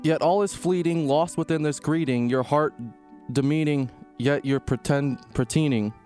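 A man on a comedy radio show, reading aloud haltingly and stumbling over words. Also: a little high, darkish tone, soft background music. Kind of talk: halting read-aloud and banter.

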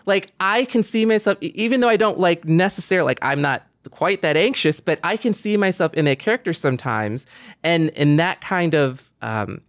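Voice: mid-range voice, normal speed, dry recording. A person in a casual podcast chat, speaking earnestly and feeling their way through sentences with frequent "like".

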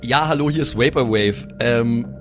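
A man's voice: medium pitch, normal speed, music in the background. A man playing a tabletop role-playing game, improvising casually in slang with English phrases mixed in.

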